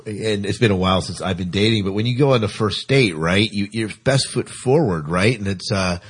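A man's voice: on the low side, brisk, husky. A man hosting a casual podcast discussion about science fiction television. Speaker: podcast host.

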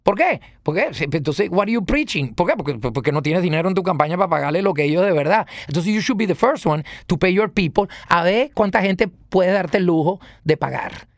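A man's voice: high voice, expressive, brisk, few pauses, no room sound, clean studio sound. A man with a Venezuelan accent arguing politics in conversation, emphatic, repeating phrases and firing off rhetorical questions. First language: Italian.

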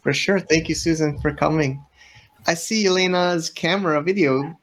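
A man's voice: fairly high pitch, moderate pace, a bit bright. A man hosting an online panel in a casual, unscripted way, chatty and encouraging with the speakers.

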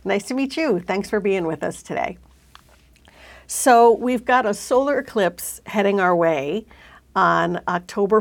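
Woman, slightly low voice, podcast host, interview delivery. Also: gravelly. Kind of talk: scripted introduction and interview questions.

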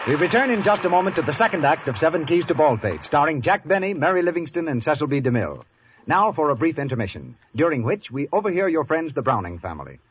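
Formal 1930s radio announcer. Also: male voice, fairly high pitch, big pitch swings, quick pace, a little hiss or hum. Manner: smooth, formal announcer delivery. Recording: dull 1930s radio broadcast with faint music.

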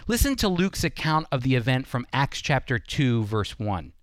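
A man's voice: medium pitch, very animated, moderate pace, no room sound, clean studio sound. A man hosting a radio program, delivering scripted Bible teaching and commentary.

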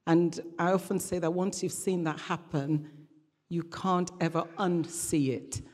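A woman's voice: fairly low pitch, fairly even intonation, a little slow, darkish tone. A middle-aged woman speaking as a guest preacher, conversational and hesitant in phrasing, with a light touch. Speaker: guest preacher, regional church minister. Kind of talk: conversational church sermon and interview. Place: large church hall, live event. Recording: echoey hall with long reverb.

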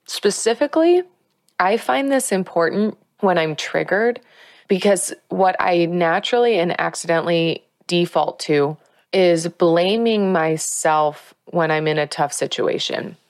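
A woman leading a spiritual teaching in an earnest, coaching way, slowing down to stress key words. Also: lowish voice, dry recording, studio quality.

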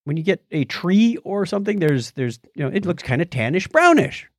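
A man's voice: high-pitched, sing-song, fairly quick, no room sound, studio quality. A man hosting a podcast, chatting casually and explaining science news in a conversational way. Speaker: podcast host.

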